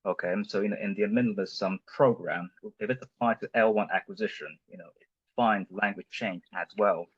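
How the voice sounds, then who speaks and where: A man whose first language is Chinese, giving an academic conference talk over a video call, halting and filling pauses with lots of "um" and "you know". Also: on the low side, fairly even intonation, breathy edge, no room sound, highs cut off. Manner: halting, heavy with fillers. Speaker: conference presenter. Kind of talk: academic lecture.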